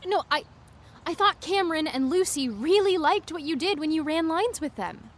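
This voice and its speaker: An actress playing a pushy, well-meaning teenage best friend in a naturalistic audio drama, earnest and insistent. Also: female voice, very high-pitched, lively intonation, normal speed. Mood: defensive. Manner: insistent, earnest, problem-solving.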